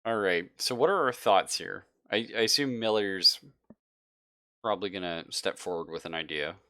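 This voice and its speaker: A man's voice: low-pitched, normal speed, bright, forceful tone, clean tone, no echo. A man acting as game master, narrating a tabletop roleplaying game in an easygoing, conversational way and thinking aloud.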